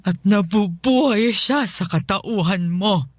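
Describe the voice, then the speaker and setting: Woman acting as bitter, slow-speaking ailing mother. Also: mid-range voice, noisy background, close to an everyday voice. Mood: resentful. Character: bitter, resentful partly paralysed mother, cruel to her child. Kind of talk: Tagalog radio drama acting. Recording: music bed behind the voice.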